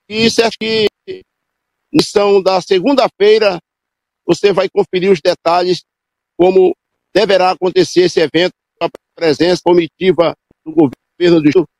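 A man's voice: high-pitched, a little mellow, no echo, poor audio. A man, reporting live for radio in a loose, improvised way with restarts and self-corrections. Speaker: field reporter.

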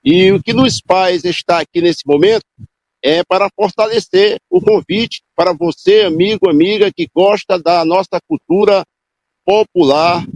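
A man's voice: high-pitched, a little slow, darkish tone, no room sound, highs cut off. Guy reporting live on radio, dry mic, kinda loose, corrects himself as he goes. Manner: loose, improvised delivery with restarts and self-corrections. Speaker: field reporter.

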